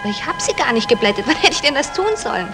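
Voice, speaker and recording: A quick-talking, anxious wife. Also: female voice, a little high, very animated, music in the background. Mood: puzzled. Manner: earnest, questioning. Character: anxious, questioning wife in a crime comedy. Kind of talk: 1960s German radio play acting. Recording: noisy old radio play recording.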